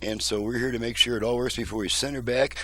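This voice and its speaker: A man's voice: medium pitch, steady tone, quick pace, fairly bright tone. A middle-aged or older man giving a casual, methodical step-by-step demonstration as a repair technician. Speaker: car radio repair technician and presenter. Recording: noisy home recording with hum.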